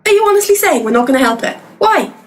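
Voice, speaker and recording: A woman's voice: sits high, sing-song, quick pace, a little hiss or hum. An actress playing a forthright, caring female assistant to paranormal investigators in a comedic audio drama, speaking expressively. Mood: indignant.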